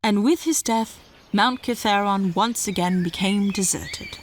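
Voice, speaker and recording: A woman giving a scripted storytelling narration in a measured, dramatic way. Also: mid-range voice, background noise.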